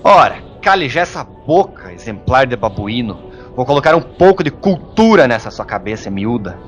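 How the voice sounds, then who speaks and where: A man with a Brazilian accent playing a gruff, insulting hermit monk with magic powers in an audio story. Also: mid-range voice, sing-song, normal speed, dull audio, soft background music, close to an everyday voice. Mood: scornful. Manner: gruff, scornful, exasperated. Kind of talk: audio drama acting.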